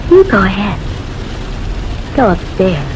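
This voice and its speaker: An actress playing a sly, eerily calm female intruder in an old-time radio drama, speaking in a deliberate, coyly imperious way. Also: medium pitch. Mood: coy, insolent. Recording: noisy, hissy old radio recording.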